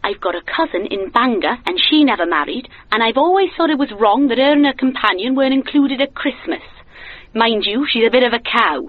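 A woman's voice: a little high, lively intonation, fairly quick. An actress playing a chatty, guileless woman in her thirties leaving an earnest comic phone message through a telephone filter. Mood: eager, chatty. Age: 30s. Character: chirpy, naively eager woman in her thirties leaving voicemail. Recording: tinny dry phone line.

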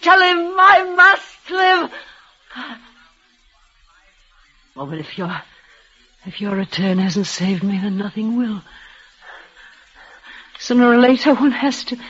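An actress playing a frail, dying young woman, tender and devoted, speaking in a breathless, halting way with soft repeats. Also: a little high, breathy edge. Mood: desperate. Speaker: lead actress. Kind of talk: 1930s radio drama acting. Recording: muffled old radio broadcast.

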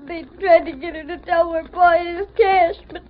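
A performer playing a frightened young American ranch boy in an old-time radio western, earnest and near crying, with halting, stammered rustic phrasing. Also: extremely high pitch. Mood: tearful, halting. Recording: muffled vintage radio recording.

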